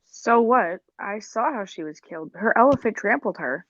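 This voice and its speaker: A woman playing a jealous, cold-blooded circus performer in a 1940s radio drama, deliberate and scheming, on a muffled recording. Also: medium pitch, animated, no echo, close to an everyday voice. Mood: curt, wary.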